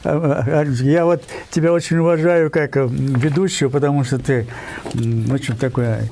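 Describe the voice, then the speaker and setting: An older man from Kyrgyzstan, a writer giving an interview, talking insistently with many digressions, pauses and fillers. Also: medium pitch, fairly even intonation, dark tone, a little hiss or hum. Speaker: guest writer. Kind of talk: emphatic interview talk.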